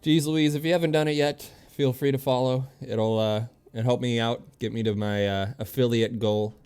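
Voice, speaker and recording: A man's voice: mid-range voice, steady tone, normal speed, darkish tone, smooth. A man streaming a video game, chatting casually with viewers and commenting as he plays in an easygoing way. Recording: game music behind the voice. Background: video game music.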